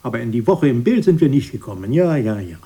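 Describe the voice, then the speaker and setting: An actor playing a querulous, self-important brother in a German radio drama, breathy and emphatic, given to pedantic declaiming about old grievances. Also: male voice, mid-range voice, sing-song, fast, dark tone. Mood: puzzled, querulous.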